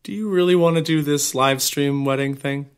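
An actor playing a loving, joking young groom in a naturalistic audio drama. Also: male voice, a little high, moderate pace, clean tone, close to an everyday voice. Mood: tender.

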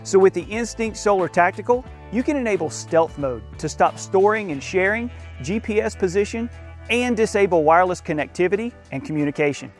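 A man in his forties, speaking outdoors to camera as a company spokesperson, presenting a product in an earnest, scripted way. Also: sits high. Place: outdoors in a green field.

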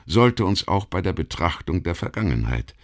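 A man reading a scripted history documentary as an audiobook narrator, in a measured, formal, essayistic way. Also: low-pitched, big pitch swings.

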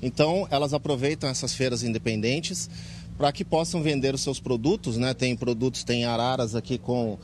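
A man's voice: mid-range voice, steady tone, normal speed, slight background noise. A man in his forties with a Brazilian accent, answering a live TV interview outdoors into a reporter's handheld mic. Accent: Brazilian Portuguese. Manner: earnest, explanatory, many fillers. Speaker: interviewee, club president. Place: outdoors in a park under a tent.

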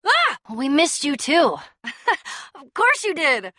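A woman's voice: high-pitched, huge pitch swings, slow pace, pushed and bright, dry recording, studio quality, heavily acted. An actress playing a bold, sardonic young woman adventurer in a video game, with a wry, punchy, casual delivery. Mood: wry, friendly.

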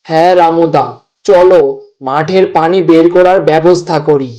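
A man's voice: a little high, steady tone, normal speed, warm tone, lightly acted. Man as a soothing farmer neighbour, dull recording. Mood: encouraging. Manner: soothing, reasoning. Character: consoling farmer neighbour, steady and practical. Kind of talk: cartoon voice acting.

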